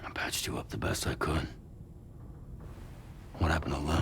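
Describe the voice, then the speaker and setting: A man playing a gruff, weary loner courier in a video game cutscene, with a muted, gravelly delivery. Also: a bass voice, moderate pace, a bit bright, slight background noise. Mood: worried.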